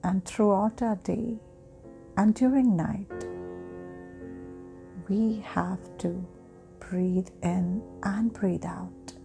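Very slow, soft-spoken woman guiding meditation. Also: medium pitch, fairly even intonation. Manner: soft, breathy, often near whispering, with long pauses. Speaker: guided meditation host. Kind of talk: slow guided sleep hypnosis meditation. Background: meditation music.

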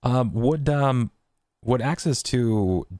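A man roleplaying casually as a tabletop game player in a halting, stammering way. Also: medium pitch, a little mellow, dry recording, clean studio sound. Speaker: player in an actual play podcast. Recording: music clearly behind voice.

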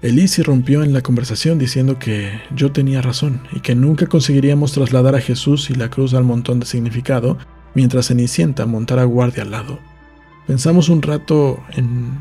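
A man's voice: medium pitch, creaky, dark tone, slightly rough. A man reading literary narration from a script, as in an audiobook. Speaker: audiobook reader.

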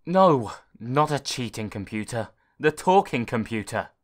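A man's voice: mid-range voice, sing-song, measured pace. A man voicing a bossy talking computer that barks out warnings and orders. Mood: stern.

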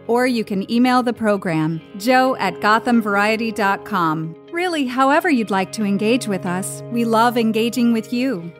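A woman with a fairly high voice works as an announcer. She reads a scripted promotional message addressed to listeners. Faint music plays behind her.

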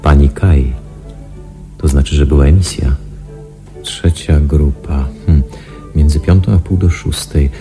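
An analytical male investigator in a radio drama, thinking aloud in an extremely low voice. He is deliberate and musing, with music clearly playing underneath. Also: steady tone. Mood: calculating, intent. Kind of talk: radio play inner monologue.

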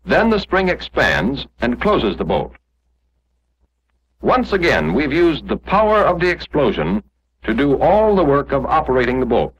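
American guy narrating an old 40s army training film, lively up and down pitch, dull muffled sound, explains stuff step by step. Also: a little slow, breathy edge. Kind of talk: 1940s instructional film narration, scripted.

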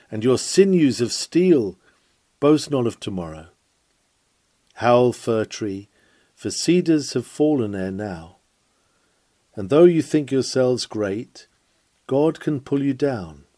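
A man's voice: mid-range voice, mellow, dry recording. A man reading an old-fashioned sermon aloud in a solemn, earnest, oratorical manner. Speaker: reader of a historical sermon.

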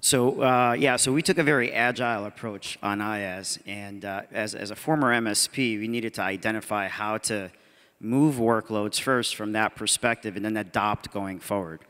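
A man's voice: fairly low pitch, moderate pace, a bit bright, smooth. A man in his thirties answering on a conference panel, confident and practical, mic held close. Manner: confident, practical, some repeats. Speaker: panelist, cloud business owner. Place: large conference hall stage. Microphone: handheld mic held close. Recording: long hall reverb.

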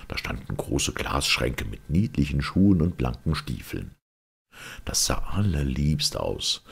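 A man narrating a fairy tale as an expressive storyteller, with a gravelly, husky voice and dramatic emphasis. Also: a bass voice. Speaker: audiobook narrator.

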